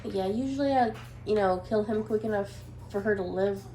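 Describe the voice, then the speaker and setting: A woman's voice: medium pitch, moderate pace, a little hiss or hum. A woman with a head cold, sounding nasal, chatting casually through a gameplay session with lots of ums. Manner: relaxed, hesitant with many ums. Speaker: gameplay commentator. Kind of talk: casual let's play commentary. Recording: echoey room with faint ambience.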